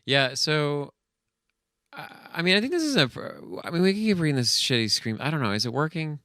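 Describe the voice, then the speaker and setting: A man co-hosting a podcast in casual, analytical movie chat, halting as he thinks aloud. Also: fairly high pitch, very animated, bright, forceful tone, no echo, studio quality. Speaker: podcast co-host.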